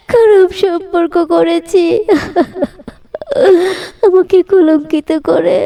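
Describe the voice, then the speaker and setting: A woman's voice: extremely high pitch, gravelly, mellow, far from the mic, full character voice. A woman voicing a humble, pious middle-aged village mother-in-law in a cartoon, with a plaintive, pleading manner. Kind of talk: cartoon voice acting.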